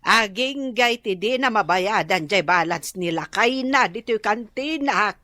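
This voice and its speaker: A woman's voice: medium pitch, expressive, unhurried, sharp tone, no room sound, studio quality. A woman playing a blunt, grumbling canteen keeper in an Ilocano radio drama, with an Ilocano accent.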